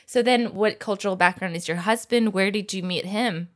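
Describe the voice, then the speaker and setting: A woman's voice: mid-range voice, moderate pace. Woman, podcast host, casual interview, easygoing restarts.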